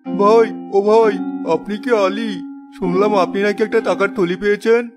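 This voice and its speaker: A high-pitched male cartoon swindler, acted out by a man, greedy and insistent. He pushes hard in a folk-tale dialogue, over clearly audible music. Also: fairly even intonation, moderate pace, natural voice. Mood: pleading. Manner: insistent, pleading then threatening. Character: pushy, greedy man falsely claiming a lost money pouch.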